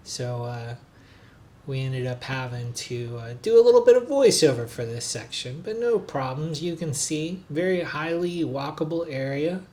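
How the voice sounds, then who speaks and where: A man narrating a hotel and city tour for his travel vlog in a relaxed, chatty way, with frequent "uh" and "um" fillers. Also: fairly high pitch, moderate pace. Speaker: travel vlogger, hotel reviewer. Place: recorded on the road in a noisy city.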